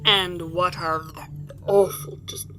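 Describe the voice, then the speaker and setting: A woman's voice: fairly low pitch, steady tone, slow, pushed and bright. A woman playing a cruel, impatient evil empress in a fantasy audio drama, haughty in her commands and savoring her threats. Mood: impatient, commanding.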